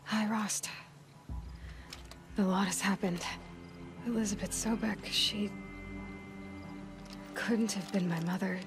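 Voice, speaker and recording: An actress playing a grieving, resolute young outcast hunter in a video game, in a soft, breathy voice with halting, intimate phrasing. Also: female voice, mid-range voice, steady tone. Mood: hushed, reflective. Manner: halting, hushed, speaking in short reflective phrases. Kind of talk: naturalistic video game acting, intimate monologue. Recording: music behind the voice. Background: game music.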